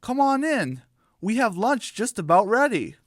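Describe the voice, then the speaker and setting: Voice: medium pitch, big pitch swings, a little slow, dry recording, studio quality, pitched above the performer's own voice. A performer voicing a polite, exasperated male cat owner in a cartoon radio parody. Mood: apologetic.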